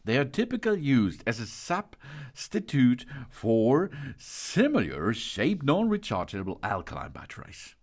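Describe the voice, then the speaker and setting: A man presenting a product unboxing and explainer, partly reading technical text aloud, with halting delivery and stumbles over words. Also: mid-range voice, wildly swooping pitch, vocal fry, darkish tone.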